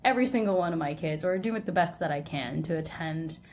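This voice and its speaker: Casting: young woman, slightly lower register, conversational podcast co-host, faint noise on the recording. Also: quick pace, darkish tone. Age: young adult. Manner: conversational, often checks in with "right?". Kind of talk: casual chat.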